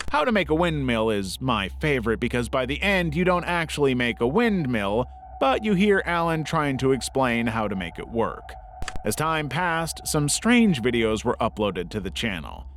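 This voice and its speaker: A man's voice: a little high, slight background noise. A man narrating a scripted documentary-style video essay as its narrator.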